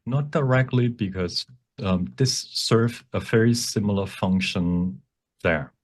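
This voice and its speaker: A man giving an informal workshop presentation with a demonstration, explaining in a halting way and often correcting himself. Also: on the low side. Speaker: workshop presenter and font developer.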